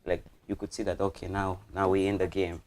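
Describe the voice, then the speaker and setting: A man's voice: sits low, little pitch movement, brisk, darkish tone. A young man from Congo, a student guest telling anecdotes casually in a playful, joking way. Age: young adult. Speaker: student guest on a talk show. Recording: clearly audible background noise.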